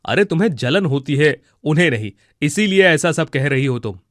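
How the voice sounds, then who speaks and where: A man's voice: a little high, animated, normal speed, dry recording, clean studio sound, close to an everyday voice. An actor playing a stern, indignant elderly husband and father in a cartoon moral story, scolding and reproachful.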